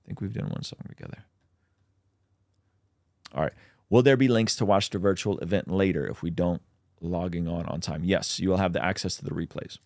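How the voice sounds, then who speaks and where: A man's voice: low-pitched, fairly quick, creaky, pauses often, a little mellow, no room sound. A man, a native Russian speaker, hosting a casual livestream where he reads out chat questions and answers them with plenty of ums.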